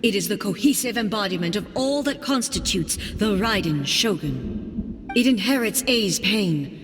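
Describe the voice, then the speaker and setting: A woman voicing a regal, resolute warrior deity in a video game, speaking in a formal, measured, grave manner. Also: medium pitch, lively intonation, noisy background. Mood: grave, explaining. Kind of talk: dramatic video game voice acting. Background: game music.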